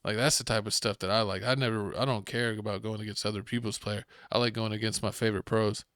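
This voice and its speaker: A man's voice: on the low side, fairly even intonation, quick pace, fairly bright tone, clean tone, no room sound, studio quality. A Texan man hosting a podcast, chatting casually and rambling about video games, sometimes acting out game scenarios. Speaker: podcast host. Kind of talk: casual sports chat.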